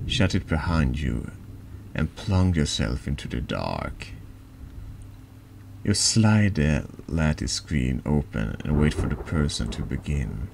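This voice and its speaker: Very deep, slow, creaky man narrating. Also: noisy background. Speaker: vtuber reading a text game aloud. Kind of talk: slow horror ASMR narration.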